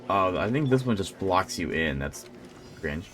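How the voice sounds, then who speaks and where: A man's voice: low voice, sing-song, normal speed, over music. A man giving casual live gameplay commentary as a streamer, rambling and thinking aloud. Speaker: game streamer.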